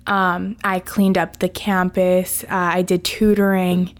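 A woman's voice: lowish voice, flat delivery, slow. A young woman in her late teens, a native Spanish speaker, chatting informally as a student guest in a casual podcast interview, often saying "like". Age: late teens, high school student.

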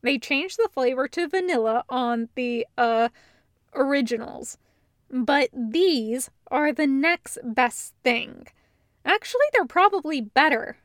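A woman plays a cheerful, casual man who is eager to share. He rambles through hesitant asides in a high-set voice, recorded very dry. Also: lively intonation, slow, dry recording. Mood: eager, rambling.

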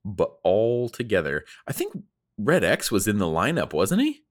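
Deep-voiced man, very animated, chatting casually. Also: moderate pace, no room sound, clean studio sound. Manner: jokey, often acts out imagined lines. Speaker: podcast co-host. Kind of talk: casual chat reviewing an animated series.

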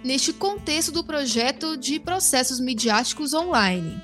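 A woman's voice: high-pitched, lively intonation, measured pace, a bit bright, faint music behind. A Brazilian woman hosts an interview, courteously thanking guests by name and putting questions to them. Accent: Brazilian Portuguese. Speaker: host, interviewer.

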